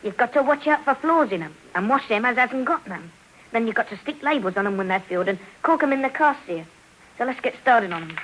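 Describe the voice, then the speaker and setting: Cockney working lad acted by a woman, fairly high, bossy and plain-spoken, for old radio drama. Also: lively intonation, a little fast, a bit bright, low-quality recording. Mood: matter-of-fact. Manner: matter-of-fact, bossy. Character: streetwise working-class London warehouse boy. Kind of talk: 1950s radio drama acting. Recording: old 1950s radio broadcast.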